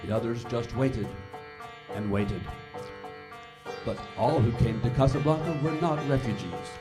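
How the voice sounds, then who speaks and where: A man's voice: mid-range voice, a little slow, over music. A man announcing and narrating in 1940s radio style, formal and theatrical.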